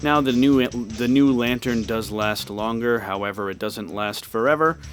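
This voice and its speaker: A man's voice: mid-range voice, normal speed. A man giving casual walkthrough commentary on a video game. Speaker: gameplay walkthrough host. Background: game music.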